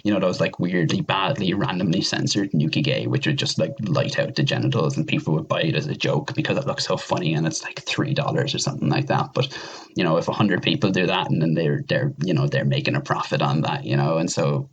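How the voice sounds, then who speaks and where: Male podcast panelist, deep and slightly rough voice, casual, emphatic and opinionated. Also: a little fast, lo-fi sound. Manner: rambling, emphatic, opinionated, mild swearing. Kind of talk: casual discussion.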